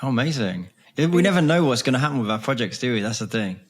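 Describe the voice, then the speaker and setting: A man's voice: mid-range voice, big pitch swings, fast talker, slightly rough. A man talking casually as an interviewer and co-host.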